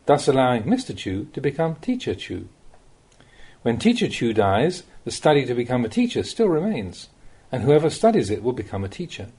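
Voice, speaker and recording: Expressive, mellow-voiced man reading Buddhist teachings. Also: mid-range voice, slightly rough. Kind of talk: reading a recorded Dhamma talk aloud.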